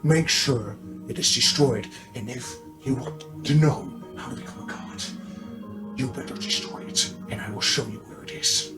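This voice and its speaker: A man voicing a gargantuan, angry, grandiose monster with drawn-out, menacing declarations in a tabletop game. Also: mid-range voice, reverberant, music in the background, pitched below the performer's own voice, lightly acted. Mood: menacing insistence.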